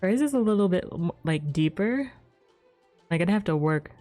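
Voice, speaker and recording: A young woman livestreamer chats casually while playing. Her voice is a little low and quite mellow, with faint music behind it. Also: expressive, moderate pace, dry recording. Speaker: game livestreamer.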